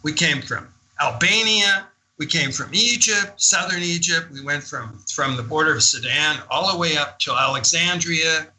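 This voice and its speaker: A man's voice: mid-range voice, sharp tone, low-quality recording. An older man talking as a guest in a radio interview, telling of his experiences in an enthusiastic, emphatic, conversational way.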